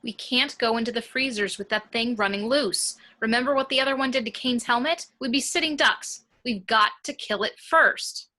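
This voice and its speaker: A woman's voice: fairly high pitch, normal speed, hardly any pauses, sharp tone. A woman in an amateur table read playing a resolute, practical spaceship warrant officer, firm and insistent. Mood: firm, urgent.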